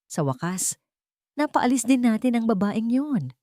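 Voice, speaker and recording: A woman's voice: fairly high pitch, sing-song, measured pace, warm tone, no room sound, clean studio sound, voice pushed up. A woman voicing a scheming, conspiratorial young man in a dramatic audio story. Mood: conspiratorial, satisfied.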